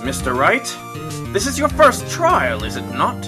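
A woman's voice: medium pitch, huge pitch swings, a little slow, music in the background. A woman voicing a stern, solemn judge in a fan-dubbed courtroom game, formal and grave in manner.

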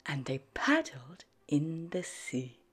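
A woman reads a storybook to small children over music. Her pitch moves in lively sweeps, and her voice is breathy. She takes it very slowly, in an animated, sing-song style. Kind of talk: expressive scripted storybook read-aloud for young children. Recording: background music under the voice.

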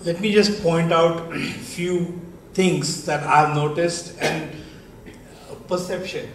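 A man with an Indian English accent on a panel, speaking in a measured, emphatic way and slipping in Hindi phrases. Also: fairly high pitch, fairly even intonation, a bit bright. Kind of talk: panel discussion. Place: large conference hall stage, seated panel. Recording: echoey hall, distant mic, faint noise.

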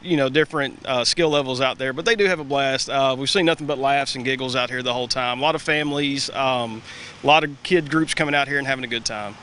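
Man explaining with bright tone. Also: a little high, clear, resonant tone. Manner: explanatory, hesitant with "uh" fillers. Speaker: parks department staffer. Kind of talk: live TV interview. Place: outdoors at an ice rink. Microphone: reporter's handheld mic.

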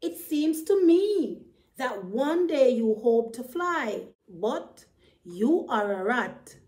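Woman voicing a high, frank, caring bird. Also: big pitch swings, slow pace, darkish tone. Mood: scolding. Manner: admonishing, emphatic, encouraging. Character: frank, caring bird friend who gives advice. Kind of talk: children's storybook character voice.

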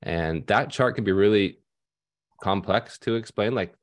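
A deep-voiced man in his thirties speaks over a headset mic on a video call from a room at home. He explains casually and loosely, full of fillers and restarts. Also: a little slow. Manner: conversational with many fillers and restarts. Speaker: financial advisor panelist. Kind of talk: casual panel discussion.

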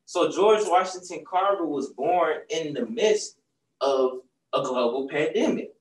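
A man's voice: on the high side, measured pace. A young man, a children's book author, talking casually in a bookstore, often asking "right?" as he tells a story. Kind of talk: casual talk to young audience. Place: bookstore, seated on a stool. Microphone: far camera. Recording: roomy sound from a distant mic.

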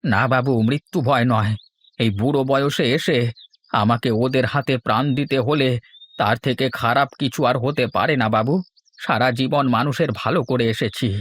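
A man's voice: medium pitch, moderate pace, fairly bright tone, lightly acted. A man voicing a loyal, cautious old servant who speaks earnestly and deferentially in a horror cartoon. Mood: fearful, apologetic.

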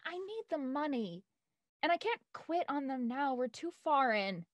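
A woman's voice: high voice, big pitch swings, measured pace, dry recording. A woman playing an anxious, earnest young woman in an audio drama, hesitant yet insistent. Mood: worried, insistent. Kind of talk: naturalistic audio drama read-through. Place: home, on a video call.

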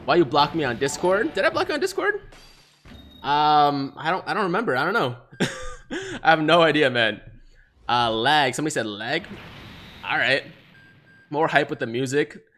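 Young man, originally from China, native Mandarin speaker, hyped live streamer, headset mic, bright, slightly high voice. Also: moderate pace, long pauses. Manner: excitable, hyped, chatty, reading chat aloud. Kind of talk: live-streamed gaming commentary. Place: small room, seated in gaming chair.

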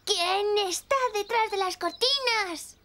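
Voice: very high voice, slow pace, sharp tone, slight background noise, full character voice. An actor voicing a small, giggly, childlike creature for a preschool television show, babbling and exclaiming in short simple phrases. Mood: curious, excited. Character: small giggly childlike toddler creature, singsong and easily delighted.

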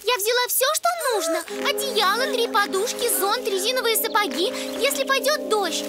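An actor voicing a bossy little ladybug girl in a cartoon, insistent and brisk. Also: very high-pitched, animated, over loud music.

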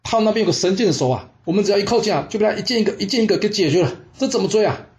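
Guy doing a scared flustered army commander, high whiny complaining, old history story. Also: lively intonation, rapid-fire, darkish tone. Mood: alarmed. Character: flustered, fearful male pursuing commander.

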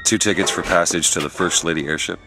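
Low-voiced, gruff hired gun. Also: male voice, fairly even intonation, fast talker, over loud music. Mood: terse, wary. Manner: terse, hard-bitten. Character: tough, gruff gun-for-hire man, impatient and blunt.

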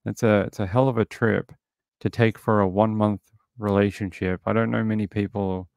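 Gravelly-voiced man chatting on a livestream. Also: on the low side, little pitch movement, normal speed, a little mellow, no echo, studio quality. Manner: chatty, greets viewers, recaps and reads articles aloud. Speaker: livestream host reviewing a true crime show. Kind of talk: casual livestream commentary.

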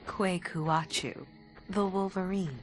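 An actress playing a gentle woman who tells legends softly in a video game. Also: fairly low pitch, slow. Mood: tender, wistful. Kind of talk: video game cutscene acting. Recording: loud music underneath.

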